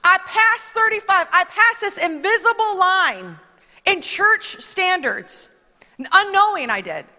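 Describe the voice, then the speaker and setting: A woman's voice: very high voice, animated, slow pace, bright, forceful tone. A woman preaching a conversational sermon, emphatic and chatty, with asides that quote other people. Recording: long reverb of a large hall.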